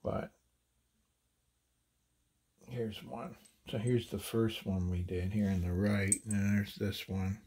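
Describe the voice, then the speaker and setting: Husky low-voiced man explaining handloading. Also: steady tone, warm tone. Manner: halting, many fillers and restarts. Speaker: handloading channel host. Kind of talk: casual hands-on demonstration.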